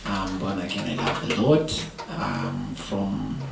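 A man's voice: deep voice, completely flat delivery, takes it slowly, gravelly, distant mic. A young Kenyan man chatting relaxedly as a studio guest, dropping in Swahili words, seated at a keyboard and talking into a stand microphone. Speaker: guest musician. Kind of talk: casual interview chat. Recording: roomy studio, voice a little off mic, noisy.

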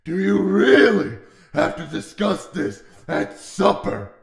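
A bloodthirsty demon war god in a comic dub. He is gruff, exasperated and deeply gravelly, speaking slowly, with a roomy echo around him. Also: sing-song, full character voice. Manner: gruff, sardonic.

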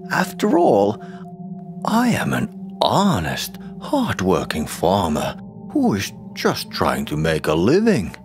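Self-righteous greedy farmer for a spooky radio tale, man putting it on, whiny, raised pitch. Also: huge pitch swings, slow pace, music in the background, full character voice. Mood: self-righteous, pleading. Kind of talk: audio drama storytelling.

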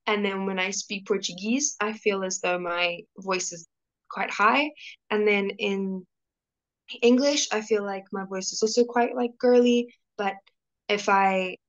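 A young woman from South Africa chats on a video call, rambling, with plenty of fillers and restarts. Her voice sits in a middle pitch. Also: fairly bright tone. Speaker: guest. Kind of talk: casual video-call conversation.

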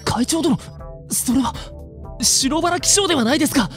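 A woman's voice: high voice, lively intonation, moderate pace, soft background music. A woman playing an earnest, stiff teenage boy who is student council secretary in a voice drama, deferential in manner. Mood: alarmed. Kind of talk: amateur voice drama acting.

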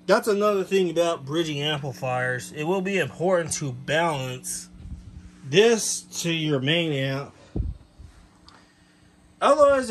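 Higher-pitched man, casual hobbyist demo. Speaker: hobbyist presenter. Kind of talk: casual hands-on demonstration. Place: cluttered bedroom, indoors. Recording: clear background noise, room ambience.

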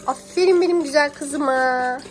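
High-pitched mother coaxing her little child. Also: unhurried, a little hiss or hum. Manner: affectionate, encouraging, uses pet names and repeats phrases. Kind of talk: casual coaxing talk to a small child while baking.

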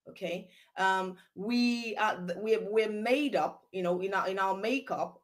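A middle-aged woman from Nigeria with a Nigerian accent, speaking passionately as a guest over a video call from a room at home. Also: medium pitch. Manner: emphatic, repeats "okay?" for stress. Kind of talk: passionate discussion. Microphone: video call webcam.

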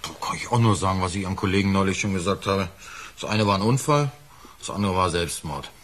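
An actor playing a defensive working-class lift fitter in a radio crime play, talking colloquially and haltingly. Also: male voice, low-pitched, a bit bright. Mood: guarded, evasive. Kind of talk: naturalistic German radio drama acting.

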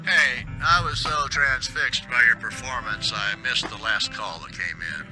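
A man's voice: medium pitch, unhurried, music in the background, natural voice, low-quality recording. A man playing a blunt, wisecracking radio producer in a video game. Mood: wry.

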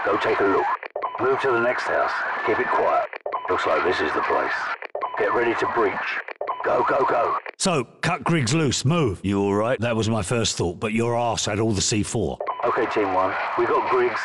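Man acting a gruff British special forces captain, widely swinging pitch. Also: very noisy, natural voice. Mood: low, measured. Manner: terse, authoritative orders and radio calls. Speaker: video game voice actor. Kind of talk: military shooter game battle dialogue.

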